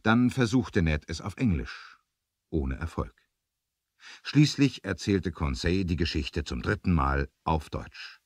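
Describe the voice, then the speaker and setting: A man's voice: low-pitched, animated, slow pace, no echo, clean studio sound. A man narrating a 1970s adventure radio play as a dramatic scripted storyteller.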